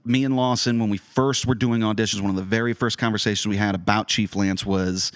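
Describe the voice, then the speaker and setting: A man's voice: on the low side, steady tone, fairly quick. A man chatting informally as a podcast host and director. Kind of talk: casual chat.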